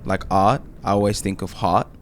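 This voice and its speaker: A man hosting a relaxed interview, asking easygoing questions full of "um" and "you know what I mean". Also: on the low side, moderate pace, slight background noise. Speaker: podcast host. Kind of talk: casual interview conversation.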